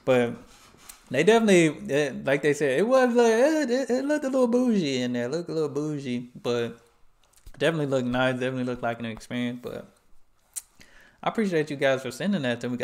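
Brief: young American reaction host, slangy and easygoing, average pitch, headset mic. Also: male voice. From United States. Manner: laid-back, slangy, chuckling asides. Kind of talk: casual reaction commentary.

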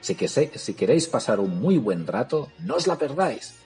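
A man, hosting a podcast and recommending a film in a lively, ironic way. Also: mid-range voice, normal speed, soft background music. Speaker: podcast host.

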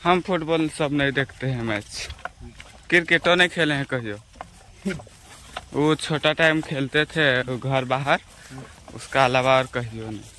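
Young adult man, casual village vlogger on a selfie phone, bright forceful voice. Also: mid-range voice, a little slow, slight background noise. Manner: chatty, addresses viewers while doing chores. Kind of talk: casual vlog talk to viewers. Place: outdoors in village fields and lanes. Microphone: phone selfie at arm's length.

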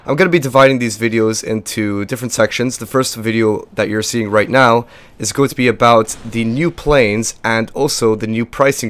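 A young man with a lost voice and little pitch movement, hosting a casual game walkthrough in a chatty, rambling way. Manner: rambling, chatty, filler-heavy. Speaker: gaming video host.

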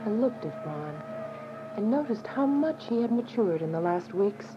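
A woman's voice: medium pitch, sing-song, normal speed, background noise, natural voice. A woman playing an earnest, devout, anxious woman who narrates and speaks in a radio drama. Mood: solemn, reflective. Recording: muffled radio drama with music behind.

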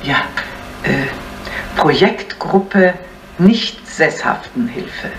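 An actress playing an earnest woman doctor being interviewed in a radio drama, halting and measured. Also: low voice, animated, heavily acted. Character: earnest, careful woman doctor leading a charity. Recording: distant, faintly noisy old broadcast.

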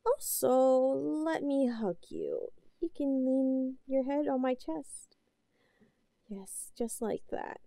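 A woman playing an obsessive, possessive, lovesick captor in an audio roleplay, speaking haltingly and pleadingly with an unhinged edge. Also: high-pitched, sing-song, creaky, dry recording, heavily acted. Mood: soft, possessive. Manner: halting, pleading, swinging between soft cooing and outbursts. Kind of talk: scripted audio roleplay, intimate and melodramatic.

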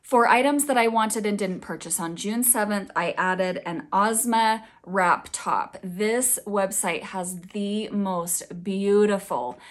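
A woman's voice: mid-range voice, takes it slowly, few pauses. Woman with a slightly scratchy voice. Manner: chatty, upbeat and confessional. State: slightly raspy, scratchy voice from recent illness. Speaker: fashion vlogger. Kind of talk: casual budget update vlog.

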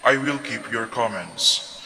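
A man reciting psalms aloud in a measured, steady way during a prayer service. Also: medium pitch. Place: large church. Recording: reverberant church hall.

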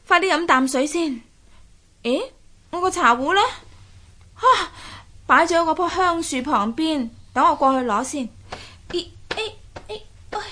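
A woman's voice: sits high, fairly bright tone. An actress playing a curious, plucky young fisher maiden in a radio drama, exclaiming and sighing as she talks to herself. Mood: tired, thirsty. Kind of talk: Cantonese radio drama acting.

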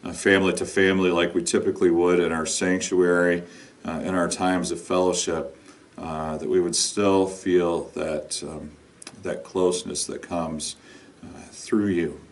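Male pastor, middle-aged, low voice, halting informal sermon delivery, miked from a distance. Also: little pitch movement. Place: indoor lounge with couch and brick wall. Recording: distant microphone in a room.

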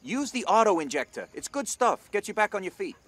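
An actor playing a chatty, sarcastic and cautious army soldier in a military video game. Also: male voice, sits high, big pitch swings, fairly quick, a bit bright, exaggerated voice. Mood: teasing, relieved. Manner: talkative, sarcastic. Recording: faint outdoor vehicle noise behind.

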